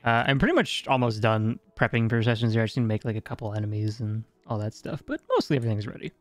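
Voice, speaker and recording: A man's voice: medium pitch, rapid-fire, no echo, faint music behind. A man wrapping up a tabletop game stream as game master, chatty, laughing often and stumbling over repeated words.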